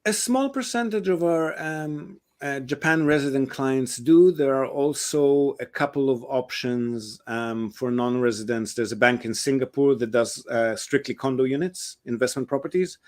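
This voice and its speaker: Man explaining property investing, mid-pitched. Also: normal speed, few pauses, a little mellow. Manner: fluent, explains step by step with frequent ums and uhs. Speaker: real estate investment advisor, guest. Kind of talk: explanatory interview answers.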